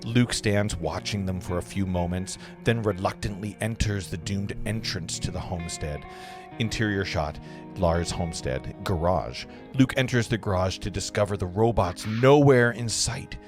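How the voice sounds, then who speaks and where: Low-pitched man narrating a script. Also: normal speed, over music. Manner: stumbles over words. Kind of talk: reading stage directions at a table read.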